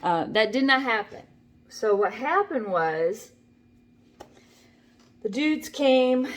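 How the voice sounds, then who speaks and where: An older woman vlogging from her home kitchen, telling a rambling story in a chatty, wry way with plenty of asides. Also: mid-range voice. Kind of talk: casual talking-to-camera story.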